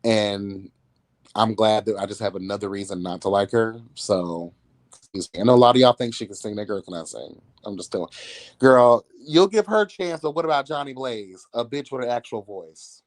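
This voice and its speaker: A man's voice: fairly low pitch, normal speed, no echo. A man giving casual celebrity gossip commentary as a livestream host, with a sassy, catty, blunt and slangy way of talking.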